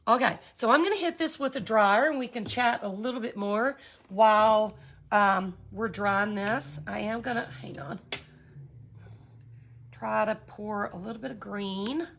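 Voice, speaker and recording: A woman's voice: medium pitch, unhurried, gravelly, a bit bright, slight background noise. A cheerful woman, teaching glass art on a livestream and chatting with viewers. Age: 50s.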